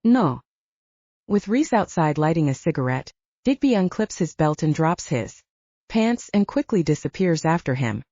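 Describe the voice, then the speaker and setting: Female fiction narrator, slightly low and mellow, dull recording. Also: very animated, moderate pace, dry recording. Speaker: audiobook narrator.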